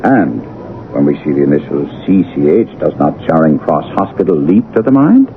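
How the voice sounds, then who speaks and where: An actor playing a keen, self-assured detective with a brisk, emphatic, teasing manner in a 1970s radio drama. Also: male voice, a bass voice, very animated. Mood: confident, reasoning. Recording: tinny old radio broadcast, faint noise.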